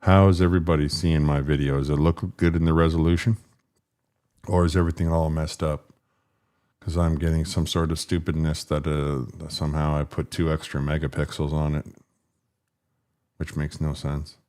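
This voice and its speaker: Very deep-voiced man chatting on stream. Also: flat delivery, normal speed, darkish tone. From Canada. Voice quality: deep rumbling voice. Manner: frustrated, rambling about stream settings. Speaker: political livestream host. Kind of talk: casual livestream chat.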